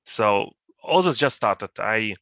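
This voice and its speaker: A man with a Ukrainian accent, speaking English as a second language, talking haltingly with many fillers on a video call. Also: fairly low pitch, animated, sharp tone, no echo. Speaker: volunteer.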